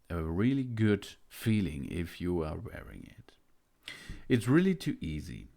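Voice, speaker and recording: A man giving an unboxing walkthrough of a gadget, hesitant, with many filler pauses. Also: lowish voice, darkish tone. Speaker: product reviewer.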